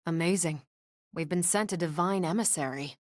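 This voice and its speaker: A woman playing an earnest, cautious skyfarer in a video game, with a serious, measured delivery. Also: fairly low pitch, no room sound, studio quality, natural voice. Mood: awed. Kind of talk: scripted video game dialogue. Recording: orchestral music behind the voice.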